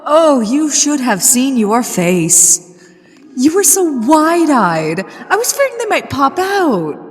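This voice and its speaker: A haughty lady ghost of a haunted manor, acted out by a woman, speaks slowly in a theatrical, imperious ASMR roleplay. Her delivery swings widely in pitch as she teases and threatens. Long reverb trails every phrase. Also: exaggerated voice. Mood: amused, gloating. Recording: long hall reverb with music behind.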